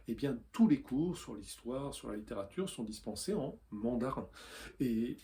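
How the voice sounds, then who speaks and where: A man from France speaks earnestly to camera as a weekly columnist. His voice is mellow and warm, at a middling pitch, and he pauses and restarts often as he makes his case. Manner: earnest, with frequent hesitations. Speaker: newsletter columnist. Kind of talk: opinion video commentary.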